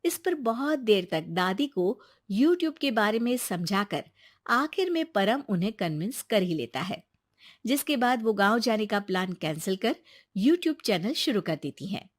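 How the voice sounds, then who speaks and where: A woman's voice: mid-range voice, sing-song, unhurried, dry recording. Dry, close woman story narrator.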